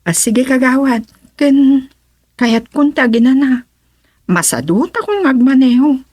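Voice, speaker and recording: A woman's voice: high voice, expressive, unhurried, a little mellow, dry recording, clean studio sound. An actress playing a composed, self-assured visiting lady in an Ilocano radio comedy drama, with an Ilocano accent. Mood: businesslike.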